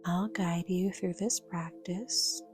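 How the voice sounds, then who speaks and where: A woman guiding a yoga nidra meditation in a soft, breathy, half-whispered voice, gentle and soothing, with measured pauses. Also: on the low side, steady tone, music in the background. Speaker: guided meditation teacher.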